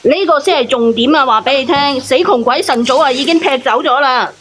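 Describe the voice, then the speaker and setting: A woman's voice: high voice, brisk, a bit bright, slightly rough. A woman giving heated casual commentary on a call-in show, blunt and cross-examining. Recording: faint hiss on call audio.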